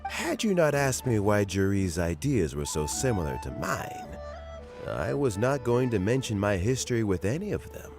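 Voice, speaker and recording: An actor playing a kindly older man close to retirement, gentle and reminiscing, chuckling often. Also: lowish voice, sing-song, moderate pace, over music. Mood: warm. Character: kindly old man near retirement, fond of fishing. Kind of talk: game voice acting.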